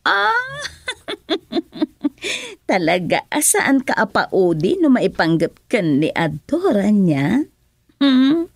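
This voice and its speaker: Slow, swooping, scheming temptress. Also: female voice, on the high side, wildly swooping pitch, creaky, no echo, clean studio sound, exaggerated voice. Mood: teasing. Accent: Filipino. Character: scheming, teasing woman bargaining for money. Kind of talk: Filipino radio drama acting.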